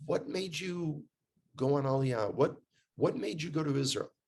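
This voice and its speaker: A man's voice: a little high, expressive, normal speed, gravelly, a little mellow, slightly rough. A man with an American accent telling stories in a casual, conversational way, emphatic and repeating phrases for effect. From United States. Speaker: guest, tour guide living in Israel.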